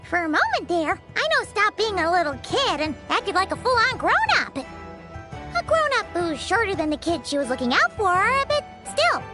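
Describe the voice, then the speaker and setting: A woman voicing a small, excitable, childlike fairy companion in an anime-style game, chirpy and nosy. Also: very high voice, moderate pace, loud music behind the voice. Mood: amazed. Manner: refers to self in third person, chirpy, exclamatory.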